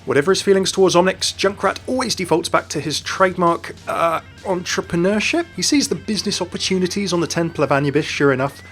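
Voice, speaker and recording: A man's voice: high-pitched, fast, few pauses, fairly bright tone, soft background music. A man narrating scripted game lore commentary as a video voice-over.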